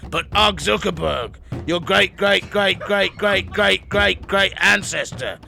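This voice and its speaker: Dim brutish caveman, low and plodding, as a man puts him on. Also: gravelly, slight background noise, exaggerated voice. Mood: menacing. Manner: slow, deadpan, low. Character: simple brutish male caveman, slow and blunt.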